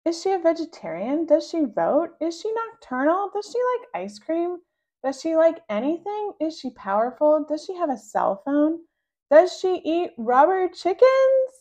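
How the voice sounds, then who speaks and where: A woman voicing an excitable, pesky little girl who fires off eager questions. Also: very high-pitched, voice pushed up, heavily acted. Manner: rapid-fire eager questioning.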